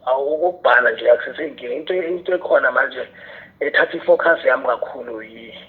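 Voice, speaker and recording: A man's voice: medium pitch, fast talker. A South African man talking casually as an interview guest, switching between two languages as he goes. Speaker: interview guest, radio drama writer and filmmaker. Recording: narrow phone-like radio sound.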